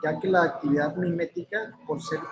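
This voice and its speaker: A man's voice: a little high, fairly even intonation, brisk, over music. A young man reading a passage aloud for the class.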